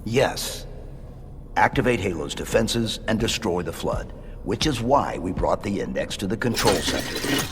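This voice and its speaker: A man's voice: fairly low pitch, expressive, measured pace, long reverb tail, background noise. A man playing a stoic, terse male super-soldier in a video game, with a commanding delivery. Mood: firm, urgent. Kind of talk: video game cutscene acting.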